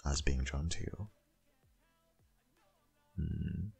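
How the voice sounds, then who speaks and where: A man playing a tender, possessive dominant boyfriend in an intimate ASMR roleplay, murmuring in a gravelly, breathy, half-whispered voice. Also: very deep voice, a little mellow, faint music behind, exaggerated voice. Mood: fond, nostalgic. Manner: slow, murmuring, lingering.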